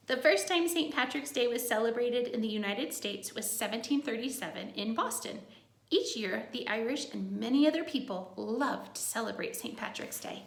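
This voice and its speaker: Higher-voiced woman reading aloud in an echoey room. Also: creaky, a bit bright, a little hiss or hum. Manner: simple, encouraging asides to child listeners. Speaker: teacher reading a children's picture book aloud. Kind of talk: read-aloud for young children with informal asides.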